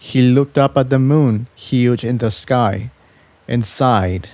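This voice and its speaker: A man narrating a fan fiction story in a measured, scripted reading style. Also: medium pitch, dark tone, no room sound.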